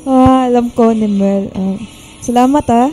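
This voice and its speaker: An actress plays a coy, insecure young Filipina in a radio drama. Her voice is high and soft-toned, hesitant and girlish, with light music underneath. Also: fairly even intonation, measured pace, natural voice. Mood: hesitant, shy. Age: young adult. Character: shy, insecure young woman, giggly and smitten.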